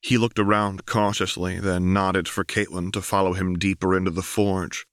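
A man's voice: low-pitched, little pitch movement, normal speed, clean tone, no room sound, studio quality. A man reading dramatic fiction as an audiobook narrator.

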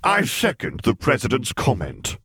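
A man's voice: medium pitch, huge pitch swings, normal speed, vocal fry, pushed and bright, breathy edge, dry recording, clean studio sound, pitched above the performer's own voice, heavily acted. A man voicing a blustering, indignant male senator who is easily outraged, in an audiobook. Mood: hotly indignant. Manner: huffy, bellowing. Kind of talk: audiobook character voice.

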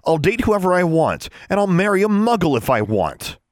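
An audiobook character: a defiant twelve-year-old girl, acted out by a man, pitched far above normal speech. She is indignant and quick-tempered, snapping her retorts with wildly swinging intonation in a dry recording. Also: sing-song, dry recording. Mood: furious yelling.

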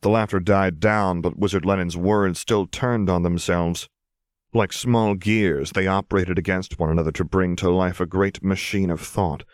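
A man reading a scripted story as narrator, in a measured, expressive way. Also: deep voice, dry recording, clean studio sound. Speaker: audiobook narrator.

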